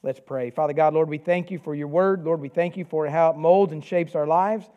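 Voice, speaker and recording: A man with a Southern US accent preaching a sermon, reading scripture and praying in an earnest, measured way. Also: a little high, fairly even intonation, dull audio.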